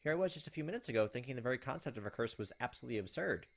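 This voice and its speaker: A man's voice: mid-range voice, animated, very fast, gravelly. A man doing casual let's play commentary, moving between reading visual novel text aloud and giving his own reactions. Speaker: gaming video host.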